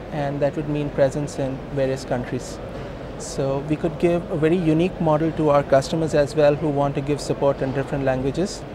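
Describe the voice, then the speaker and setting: A man with an Indian accent and a slightly high voice answers questions as a company founder in an informal, emphatic way. He is recorded at an event with clear background noise. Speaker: company co-founder being interviewed. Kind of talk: conversational business interview. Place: busy hosting industry event. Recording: noisy event location, traffic-like ambient noise.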